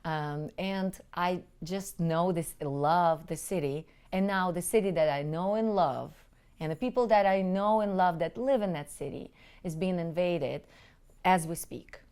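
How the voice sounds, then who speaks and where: A woman in her forties from Crimea whose first language is Russian, answering interview questions earnestly with frequent pauses. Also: lowish voice, a little mellow, smooth. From Crimea, Ukraine. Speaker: interviewee, relief group leader. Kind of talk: conversational interview.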